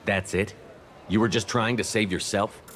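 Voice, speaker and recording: Deep, steady military commander played by a man. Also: normal speed, slight background noise, natural voice. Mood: firm, controlled. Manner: steady, controlled, reasoning. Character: calm, firm human military commander, persuasive and loyal. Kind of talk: video game dialogue acting.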